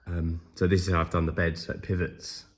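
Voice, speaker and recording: A man with a cold, croaky and hoarse, chatting casually with lots of ums while filming a walkaround of his truck restoration in a workshop. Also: deep voice, fairly quick. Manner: relaxed, many ums, trailing off.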